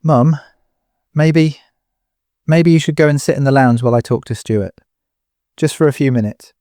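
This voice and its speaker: An actor playing a torn, peacemaking son in an audio drama, hesitant, placating and helpless. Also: male voice, medium pitch, moderate pace, mellow, no room sound, clean studio sound, close to an everyday voice.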